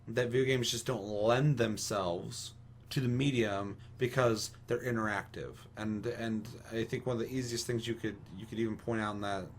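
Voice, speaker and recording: A man's voice: fairly low pitch, steady tone, normal speed, a little hiss or hum. A young American man hosting a casual podcast discussion, giving opinions emphatically with lots of casual swearing. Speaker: podcast host.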